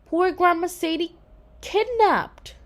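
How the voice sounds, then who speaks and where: A woman's voice: very high-pitched, slow, voice pushed up. A woman voicing a confident, bossy eight-year-old girl in a children's storybook, with an insistent, matter-of-fact manner. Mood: astonished. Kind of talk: children's storybook read-aloud.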